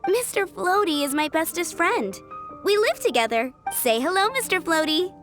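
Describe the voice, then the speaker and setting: Very high, dreamy little girl. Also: very animated, moderate pace, a bit bright, exaggerated voice. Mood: cheerful, dreamy. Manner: childlike, guileless. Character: sweet, dreamy, innocent little flower-shop girl. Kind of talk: video game character acting. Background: music.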